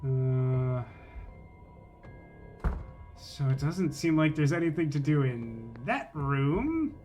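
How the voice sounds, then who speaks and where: A man's voice: mid-range voice, animated, takes it slowly, mellow, faint music behind. A man doing casual live video game commentary, rambling and wry as he thinks aloud and chats with viewers.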